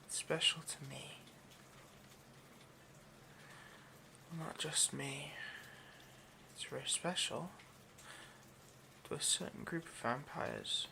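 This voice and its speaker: A man playing an obsessive, hungry vampire in an intimate ASMR roleplay, murmuring haltingly and often slipping toward a whisper. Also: on the high side, vocal fry, pushed and bright, a little hiss or hum, heavily acted. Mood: confessing, intense. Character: obsessive, hungry male vampire, possessive and seductive.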